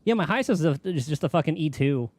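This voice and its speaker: A man's voice: a little high, animated, quick pace, a little mellow, no room sound. A man chatting casually on a game livestream, rambling and thinking aloud as he goes. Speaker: game streamer. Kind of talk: casual livestream chat while gaming.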